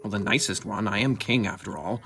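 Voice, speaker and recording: A man's voice: on the low side, a little fast, sharp tone, slightly rough, soft background music, full character voice. A man playing a solemn, kindly but dim Martian king, speaking formally in a comic sci-fi audio play. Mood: commanding. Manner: formal, stately.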